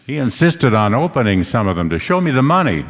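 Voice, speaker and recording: A man's voice: mid-range voice, sing-song, a little fast. A man playing a tough, wry private detective in an old-time radio play, both narrating and talking. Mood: tense narration. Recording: echoey hall stage recording, music behind.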